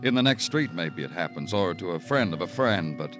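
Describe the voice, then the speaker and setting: An actor playing a terse, weary American police detective narrating his case in a 1950s radio crime drama, clipped and deadpan. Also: low-pitched, fairly quick. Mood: brooding, matter-of-fact. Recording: old radio recording with music.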